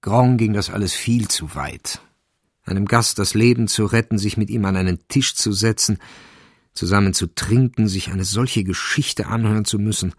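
Low, creaky male crime narrator. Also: normal speed, no echo, studio quality. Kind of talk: literary crime narration for radio drama.

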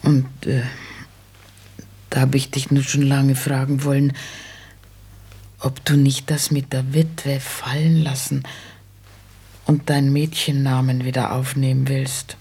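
An actress playing an insistent, plaintive old mother who talks plainly and haltingly, in a German radio play. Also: very deep voice, little pitch movement, warm tone, heavily acted. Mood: probing, insistent. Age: older. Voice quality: husky, creaky. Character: nagging, money-minded old widowed mother, plain-spoken.

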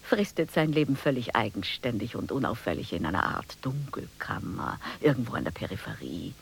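An actress playing an indignant, dismissive dreaming housewife in a German radio play, emphatic and theatrical, dropping into hushed asides. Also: female voice, very deep voice, very animated, fairly quick, vocal fry, a little hiss or hum, full character voice.

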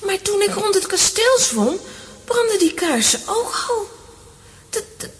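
An actress playing an excitable, stubborn and curious little girl with a Flemish accent, exclaiming dramatically in a children's audio drama. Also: very high-pitched, measured pace, exaggerated voice. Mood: awed.

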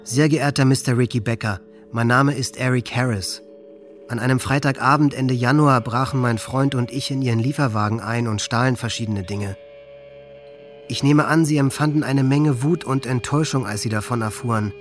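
A man's voice: mid-range voice, normal speed, soft background music, close to an everyday voice. An actor playing an angry, manipulative teenage boy in an intense, confiding radio drama monologue in German. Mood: feigned contrition. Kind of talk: German radio docudrama acting, diary monologue.

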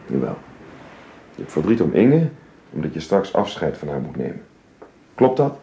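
A man's voice: extremely low pitch, big pitch swings, moderate pace, gravelly, mellow, full character voice. A man playing a reserved, earnest father and employer who speaks formally and hesitantly in a radio drama with a roomy, hissy recording.

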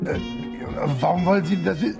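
Creaky, high-voiced harmless old drunk. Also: male voice, fairly even intonation, brisk. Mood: nervous, protesting. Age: older. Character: harmless old drinker working as Santa Claus, chatty, nervous. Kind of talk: radio drama acting. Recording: loud music behind the voice.

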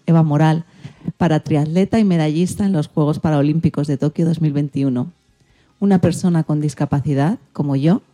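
A woman's voice: low-pitched, dark tone. A woman with a Spain Spanish accent hosting a community radio show from a script.

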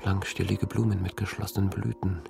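An actor playing a haunted man tormented by dreams and memories, in a halting, brooding, intimate radio drama monologue. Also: low voice, little pitch movement, creaky, music in the background. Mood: detached, calming. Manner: halting, brooding, at times whispering.

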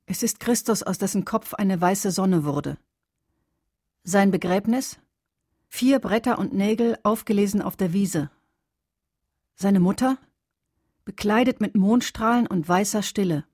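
An actress reciting as a solemn poetic voice in a radio play, measured and stark, with a slightly husky tone. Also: female voice, mid-range voice, darkish tone, no room sound, clean studio sound. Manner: measured, stark questions and pronouncements. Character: solemn female poetic voice of litany.

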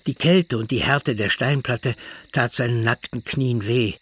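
A man narrating a literary radio drama in a measured, hushed storytelling manner. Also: medium pitch, a little hiss or hum.

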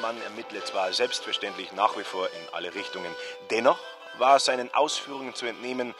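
An actor reading a sober local press report in a measured, factual manner within a radio drama. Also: male voice, on the low side, over music, lightly acted.

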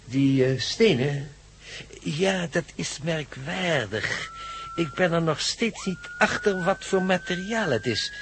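A thoughtful professor in an old radio drama with faint noise. He muses hesitantly in a slightly high voice with lively rises and falls. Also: slow, full character voice. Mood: puzzled, musing. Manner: hesitant, musing.

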